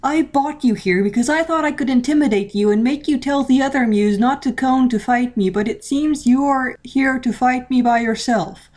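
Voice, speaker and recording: A lonely, centuries-old vampire prince holds forth in grand, pompous sentences, as a woman reading a story voices him. The voice sits fairly high and stays theatrical and self-important throughout this storybook reading. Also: normal speed, hardly any pauses, darkish tone, natural voice. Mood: gloating. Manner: pompous, theatrical villain speech.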